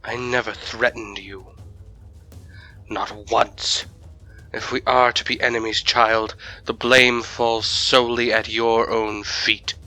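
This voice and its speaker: An actor playing a haughty, impatient ancient vampire lord in an audio drama, speaking in a formal, measured, disdainful way. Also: male voice, fairly low pitch, little pitch movement, bright, forceful tone, faint music behind, exaggerated voice, poor audio. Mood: cold disdain.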